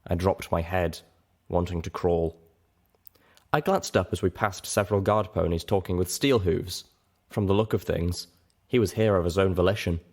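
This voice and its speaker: A man reading a first-person story as narrator, in an expressive, dramatic style. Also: deep voice, normal speed.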